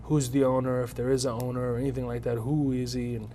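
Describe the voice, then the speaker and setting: A man in his thirties, a working stand-up comedian, tells his story in an interview, animated and quick to act out other people's voices. A faint low rumble runs under his voice. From United States.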